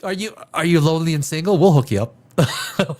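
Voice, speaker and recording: A man's voice: fairly high pitch, animated, brisk, a little mellow. A man hosting a live stream, chatting casually with his viewers and reading their comments. He rambles and repeats himself, and sounds stuffed up from a cold. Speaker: livestream host.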